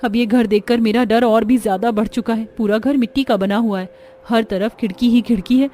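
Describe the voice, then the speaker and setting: Woman acting an anxious young wife, highish voice. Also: steady tone, a little fast, dark tone, a little hiss or hum, close to an everyday voice. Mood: dismayed. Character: anxious young daughter-in-law dreading cold village life.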